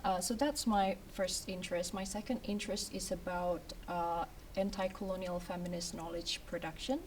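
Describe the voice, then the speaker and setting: A woman scholar speaks on a university panel in a measured, halting way, full of fillers. Her voice is on the low side. It reaches a distant mic with faint room noise. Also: fairly even intonation. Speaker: academic and author. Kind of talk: panel talk. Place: event room.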